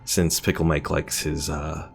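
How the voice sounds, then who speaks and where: Low, gravelly man streaming a game. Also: level tone, measured pace, soft background music. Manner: thinks aloud, reads out game stats and chat comments with many fillers. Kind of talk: casual live-stream gameplay commentary.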